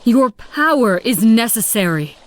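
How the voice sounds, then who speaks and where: A woman's voice: on the high side, expressive, measured pace. An actress voicing a determined, zealous female agent of a secret order in a video game, solemn and poised. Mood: measured, earnest. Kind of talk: dramatic video game dialogue.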